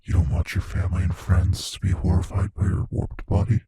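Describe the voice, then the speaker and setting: A man playing a gleefully cruel monster from under the bed in an intimate ASMR audio roleplay, taunting and menacing in a breathy, whispery voice. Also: sits low, little pitch movement, warm tone, heavily acted. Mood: gloating, cruel amusement. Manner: slow, taunting, menacing, savoring words.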